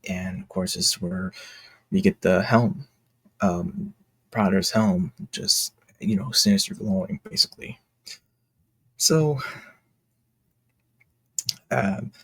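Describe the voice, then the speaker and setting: A man giving casual behind-the-scenes commentary as an indie creator, talking in a halting, rambling, enthusiastic way. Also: lowish voice, creaky, breathy edge, no echo. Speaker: indie comic creator and video host.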